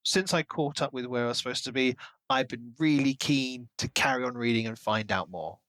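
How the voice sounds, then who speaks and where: A man co-hosting a casual book discussion, speculating in an expressive, wordy way. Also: mid-range voice, a little fast, dry recording. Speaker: podcast co-host discussing a novel. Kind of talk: casual book-club chat.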